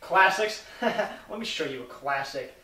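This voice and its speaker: A woman's voice: deep voice, expressive, a little slow, sharp tone. A woman narrating a student comedy in a playful, theatrical way. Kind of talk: comic narration. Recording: roomy, distant mic.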